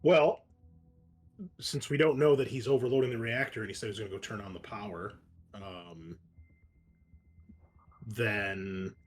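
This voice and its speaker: A man's voice: on the low side, slight background noise. A man taking part as a player in a tabletop roleplaying game, chatting casually through his character's moves.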